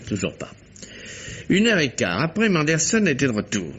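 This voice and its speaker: An actor playing a thoughtful, urbane detective, measured, ironic and courteous, in a vintage radio mystery. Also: male voice, fairly high pitch, wildly swooping pitch, fairly bright tone, breathy edge, exaggerated voice. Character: thoughtful, wry male amateur detective, urbane and sharp. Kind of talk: mid-20th-century French radio mystery acting. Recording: muffled vintage radio recording.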